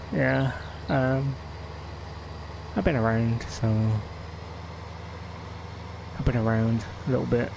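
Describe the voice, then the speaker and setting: A man's voice: medium pitch, vocal fry, background noise. A man with a West Country English accent chatting casually while streaming a game, rambling and laughing often. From England. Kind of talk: casual live-stream chat.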